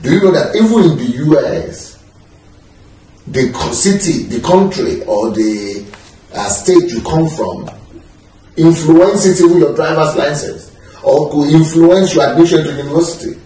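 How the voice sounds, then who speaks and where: Animated man in an echoey room. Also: on the high side, measured pace, slight background noise. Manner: animated, gesturing, emphatic with laughs. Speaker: pastor being interviewed. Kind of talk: relaxed conversational interview. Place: living room, lounging on a sofa. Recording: echoey room, mic at a distance.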